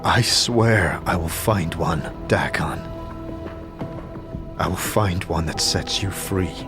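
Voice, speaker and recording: Guy playing earnest immortal hero, urgent n stammering, low voice, soft music behind, audio drama. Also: slow. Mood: pleading. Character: earnest, troubled immortal man, adventurer hero.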